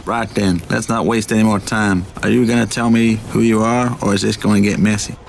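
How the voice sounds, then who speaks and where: Gravelly suspicious ex-cop, acted by a man. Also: on the low side, level tone, brisk. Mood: stern, probing. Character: suspicious, jealous ex-cop husband on a train. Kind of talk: amateur radio play acting. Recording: noticeable background noise.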